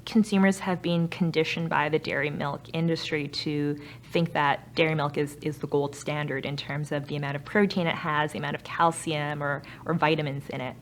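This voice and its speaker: A woman's voice: deep voice, flat delivery, moderate pace, few pauses, slight background noise. A young woman with a Canadian accent, answering interview questions as a startup founder in an earnest, explanatory way. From Canada, grew up in Vancouver. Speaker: startup founder and chief executive. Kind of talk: interview answers about own company.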